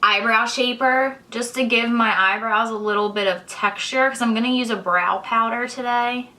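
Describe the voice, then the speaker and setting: Hoarse congested girl in her 20s chatting thru her makeup, kinda bright tone. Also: a little high, steady tone, normal speed, rough recording. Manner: casual, chatty, explains each step. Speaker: beauty vlogger. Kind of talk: chatty get-ready-with-me tutorial.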